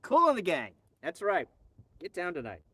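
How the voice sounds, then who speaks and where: Animated, playful man hosting a livestream. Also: high voice, slightly rough, dry recording. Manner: playful, bantering, halting with repeats. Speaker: livestream host. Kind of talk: casual live chat. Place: outdoors in a quiet spot with birds. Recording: slightly distant from the mic.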